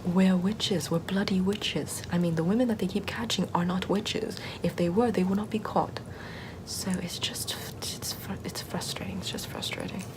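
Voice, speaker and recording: A woman playing a sardonic Puritan-era forest witch in a soft, rambling, mostly whispered ASMR roleplay. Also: lowish voice, fairly even intonation, moderate pace, natural voice, low-quality recording. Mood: exasperated, mocking. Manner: rambling, conversational, repeats words softly.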